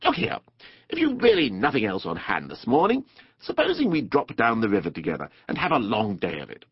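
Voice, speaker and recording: A man's voice: fairly low pitch, wildly swooping pitch, fairly quick, slightly rough, no room sound, muffled recording, heavily acted. A man voicing a genial, worldly water rat in a storybook, a dreamy boating enthusiast with a hearty, composed manner.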